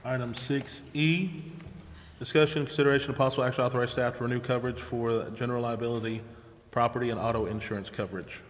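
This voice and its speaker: A man's voice: medium pitch, steady tone, fast. Man, council chair, formal procedural reading, long reverb, faint room noise. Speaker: presiding chair of city council. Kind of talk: formal meeting procedure, reading agenda items. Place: indoor city council chamber.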